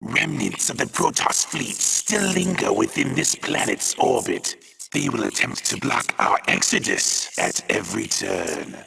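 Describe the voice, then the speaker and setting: A man voicing a sinister alien hive creature, a formal and ominous advisor in a video game, with a harsh, raspy, gravelly voice. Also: medium pitch, lively intonation, a little slow, sharp tone, slight background noise, full character voice, poor audio. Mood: warning. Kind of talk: video game character briefing.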